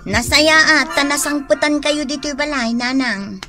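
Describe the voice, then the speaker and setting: A woman's voice: sits high, normal speed, music in the background, lightly acted. A woman playing a put-upon young mother with an Ilocano accent in a comedy radio drama. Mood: pleading.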